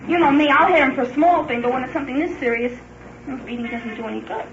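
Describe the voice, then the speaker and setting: A woman's voice: high voice, fast. A distraught woman, a worried mother, talking emotionally with police officers. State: anxious, distraught. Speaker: mother of a missing child. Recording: echoey muffled field tape, noisy.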